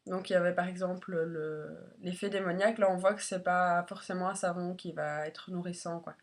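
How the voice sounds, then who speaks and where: A woman's voice: lowish voice, steady tone, fairly quick. A woman with a congested, head-cold voice chats informally and a little hesitantly as she reviews products in a casual beauty vlog. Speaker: beauty vlogger.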